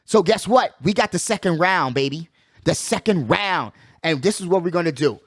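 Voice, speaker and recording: High-voiced man talking sports, dry sound. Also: moderate pace, no room sound. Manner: animated, slangy, repeats phrases for emphasis. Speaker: sports podcast host. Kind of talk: casual sports commentary and playoff predictions.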